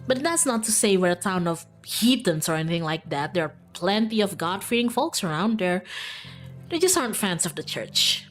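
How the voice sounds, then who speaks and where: A woman's voice: medium pitch, sing-song, moderate pace, fairly bright tone. A woman voicing a friendly, easygoing young small-town woman in a visual novel, chatty and upbeat. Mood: easygoing, candid. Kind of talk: visual novel character reading. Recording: music in the background.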